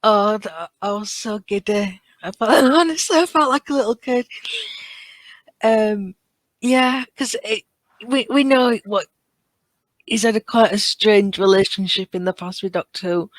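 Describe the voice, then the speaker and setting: A woman's voice: mid-range voice, a little slow, dry recording. A woman chatting casually as a fan on a podcast, earnest and enthusiastic in how she talks. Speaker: podcast guest or co-host.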